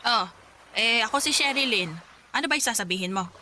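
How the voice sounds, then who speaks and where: A woman's voice: a little high, animated, fairly quick, very noisy, close to an everyday voice. An actress playing a weary, guilt-ridden old mother in a radio drama, speaking hesitantly and self-effacingly. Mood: startled, wary. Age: older. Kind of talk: Tagalog radio drama acting. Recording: music under the dialogue.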